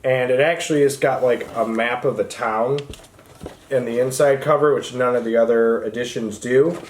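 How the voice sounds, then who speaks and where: A man with an average-pitched voice talks as the host of a book channel. His delivery is informal and conversational, wandering a little as he goes, like someone chatting straight to viewers. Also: fairly even intonation, normal speed, slight background noise. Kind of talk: casual book chat to camera.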